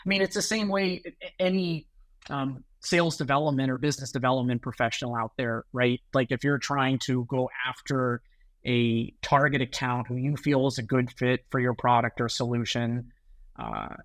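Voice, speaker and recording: A middle-aged man with an American accent talks through his answers in an easy, conversational way, often restarting words and tagging on "right?". His voice sits at an ordinary pitch. The sound is dry and close, with no room echo. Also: fairly bright tone. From United States. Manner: conversational, repeats words and tags sentences with "right?". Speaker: guest, sales executive. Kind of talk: podcast interview answers.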